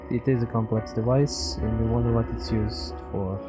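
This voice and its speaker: A man's voice: medium pitch, steady tone, moderate pace. Man streaming a game, music behind. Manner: rambling, thinking aloud. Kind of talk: casual live gameplay commentary, chatting with viewers.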